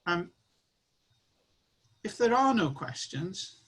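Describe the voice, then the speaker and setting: A man's voice: high voice, sing-song, takes it slowly, fairly bright tone, breathy edge. A man with a slightly raised voice chairs an online annual meeting. He speaks in an earnest, encouraging way as he wraps up. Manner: earnest, rallying closing remarks.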